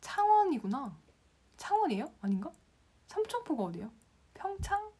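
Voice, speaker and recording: Lively woman hosting a live stream. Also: high voice, normal speed. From Gyeongsang province, Korea. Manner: reads viewer comments aloud and answers them, laughing often. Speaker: Instagram class instructor on a live stream.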